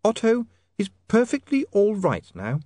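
A man voicing a brisk, earnest young woman in an audiobook, with a high feminine voice and urgent, coaxing, emphatic delivery. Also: wildly swooping pitch, mellow, dry recording, clean studio sound, exaggerated voice. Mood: reassuring.

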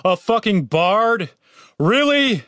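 A man's voice: mid-range voice, animated, moderate pace, fairly bright tone, dry recording, close to an everyday voice. A man narrator voicing a panicky, exasperated young man who has become a fantasy bard, whiny and sweary in an audiobook. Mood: dismayed.

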